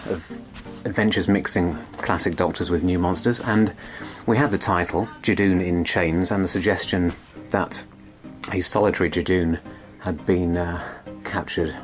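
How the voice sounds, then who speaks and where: A man speaking as a scriptwriter in a behind-the-scenes interview, measured and hesitant as he explains. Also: sits low, fairly even intonation, faint music behind.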